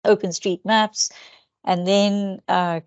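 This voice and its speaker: A woman's voice: medium pitch, fairly even intonation, slow pace, darkish tone, smooth, dry recording, lo-fi sound. A woman with a Turkish accent, a seminar speaker answering questions in an academic discussion, hesitant with many fillers and restarts. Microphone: video call.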